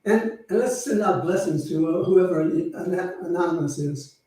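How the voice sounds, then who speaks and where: A man speaking as a minister, informally leading prayer and blessing with halting pauses. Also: sits high, mellow. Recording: very echoey room, slightly distant mic.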